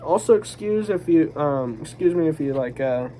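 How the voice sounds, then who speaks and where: A man's voice: fairly high pitch, expressive, normal speed, warm tone, low-quality recording. A man with a stuffy, congested nasal voice giving a casual review, rambling and hesitant and often restarting his sentences. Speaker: manga reviewer. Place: home room with a squeaky office chair. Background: faint background noise.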